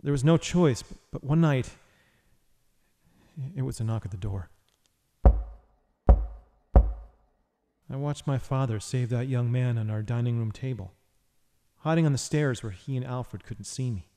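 A man's voice: medium pitch, a little slow, pauses often, warm tone, long reverb tail, a little hiss or hum, lightly acted. A man playing a brooding, haunted vigilante hero, narrating a noir inner monologue in an audio drama. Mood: wistful.

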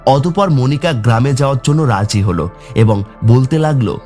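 A man's voice: medium pitch, animated, moderate pace, darkish tone, soft background music. A man narrating a scripted horror story for a cartoon.

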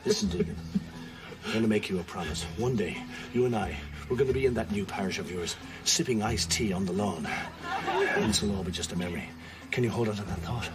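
A man's voice: fairly low pitch, expressive, a little fast, lightly acted. A man playing an earnest priest leading his team like a war-film hero in a comedy, with an Irish accent. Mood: earnest, reassuring. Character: earnest take-charge priest parodying a war-film platoon leader. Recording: loud film music behind.

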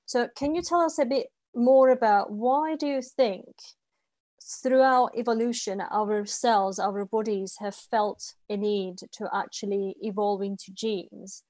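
A woman's voice: mid-range voice, steady tone, unhurried, darkish tone, no echo. Dry-sounding woman hosting a video call. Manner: long wandering questions with fillers. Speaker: interview host. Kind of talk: asking questions in a video call interview. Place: home room with light walls and plants.

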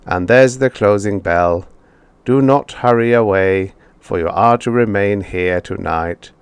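A man voicing a calm, unfeeling robot teacher who speaks in a flat metallic way. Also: on the low side, unhurried, smooth, no room sound. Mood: instructive.

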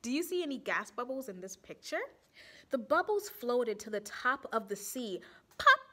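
A young woman reading a children's picture book aloud expressively, deliberately, pausing to ask and explain. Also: a little high, sing-song, sharp tone. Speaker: storytime reader for children. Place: small indoor room with bulletin board.

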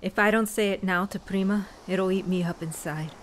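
An actress with a Mexican American accent playing a proud, vengeful young woman, intense and shifting between mutters and shouts. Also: mid-range voice, steady tone, moderate pace, close to an everyday voice. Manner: intense, swinging from muttering to yelling. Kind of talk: audio drama acting.

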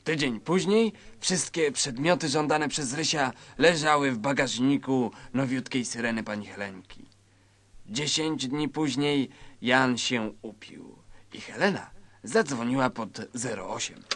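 A man narrating a scripted satirical story in a measured, mock-solemn manner. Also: medium pitch, fairly bright tone.

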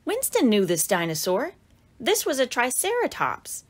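A woman narrates a children's picture book in a fairly high voice. Her reading is lively and expressive, with pitch that swings widely. She leans into dramatic exclamations as she tells the story to young listeners. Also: moderate pace.

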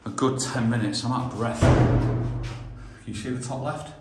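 A man's voice: medium pitch, fairly even intonation, moderate pace, loud music behind the voice. A middle-aged man, chatting casually to camera and partner as he vlogs at home. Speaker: vlog host. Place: small to medium bare rooms indoors. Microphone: handheld camera at arm's length. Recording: echoey bare tiled rooms, some noise.

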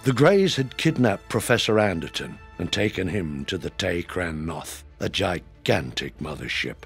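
Very deep male narrator. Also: animated, measured pace, vocal fry, breathy edge, faint music behind. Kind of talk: scripted dramatic narration.

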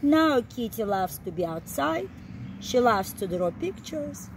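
A middle-aged woman reading a picture book aloud to young children in an expressive, deliberate way, recorded outdoors. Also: a little high, a little hiss or hum, poor audio. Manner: expressive, deliberate read-aloud delivery with simple emphasized phrases. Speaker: early childhood storyteller. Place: outdoor backyard, seated on a garden seat.

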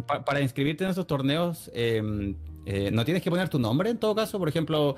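A man's voice: on the high side, big pitch swings, brisk, faint music behind. A man from Chile, a guest on a casual podcast, asking curious questions with hesitant restarts.

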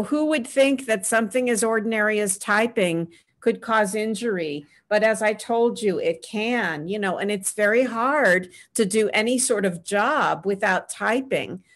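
A middle-aged woman speaking from a small home room over a webcam, talking in an earnest, advice-giving way. She often pauses with "um" as she answers questions on a video call panel, at a medium pitch. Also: a bit bright.

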